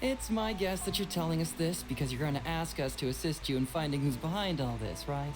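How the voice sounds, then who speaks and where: A man voicing a young, confident, shrewd detective in a video game, with a casual, smooth, teasing manner. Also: a little high, fairly quick, noisy background, close to an everyday voice. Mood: polite, breezy. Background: game music.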